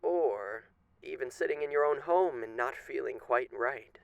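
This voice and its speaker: A man's voice: mid-range voice, unhurried, gravelly, clean tone, no room sound, muffled recording. A man playing a suave, menacing radio demon in an ASMR roleplay, speaking with genteel, teasing, theatrical politeness. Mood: showman-like, sly.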